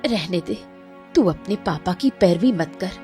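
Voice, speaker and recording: A woman's voice: on the low side, lively intonation, a little slow, dark tone, faint music behind. A woman acting a worried, nagging, protective mother in a Hindi cartoon moral story. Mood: annoyed, protective.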